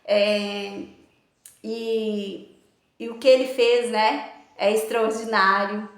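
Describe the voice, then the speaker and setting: A woman telling a personal faith testimony in an earnest, reflective way, with many pauses and fillers. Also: mid-range voice, fairly even intonation. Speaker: motivational speaker. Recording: echoey room.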